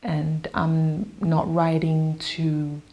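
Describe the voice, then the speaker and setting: A woman whose first language is Yoruba, a singer-songwriter talking thoughtfully in an interview, pausing with fillers and restarts. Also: deep voice, completely flat delivery, dull audio. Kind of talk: interview talk.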